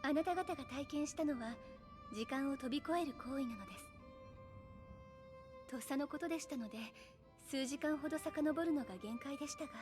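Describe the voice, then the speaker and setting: Woman doing a posh young anime game lady, high voice, very polite and composed, music in back. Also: a little fast, long pauses, natural voice. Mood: composed, earnest. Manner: polite, genteel ladylike speech. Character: refined, composed young noblewoman. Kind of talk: Japanese anime game voice acting. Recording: music behind the voice.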